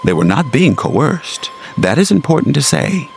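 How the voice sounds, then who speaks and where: A man reading scripted gothic horror as a storyteller, in a dramatic, deliberate literary manner with weighted phrases. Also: medium pitch, big pitch swings, creaky, slight background noise. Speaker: storyteller, narrator. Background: music under the narration.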